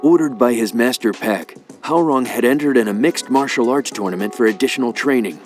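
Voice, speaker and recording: A man's voice: mid-range voice, brisk, natural voice. A man reading scripted dramatic narration as the narrator of a video game story. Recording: music behind.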